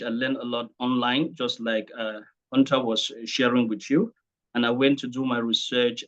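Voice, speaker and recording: A Cameroonian-accented man with a medium-pitched voice speaks as a presenter at an online training session. His delivery is deliberate and halting, with words repeated as he goes. The sound is muffled and low in fidelity. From Cameroon, English-speaking region. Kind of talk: informal presentation to an online group.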